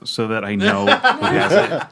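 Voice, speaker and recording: A man's voice: a little high, wildly swooping pitch, a little slow, creaky, pushed and bright, breathy edge. A man improvising as a player in a tabletop roleplaying game, casual and explanatory.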